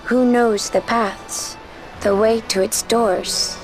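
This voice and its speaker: A slow, solemn mysterious woman. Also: a little high, fairly even intonation. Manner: slow, solemn, recited phrasing. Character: calm, mysterious woman of an ancient race. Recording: game cutscene with soft music.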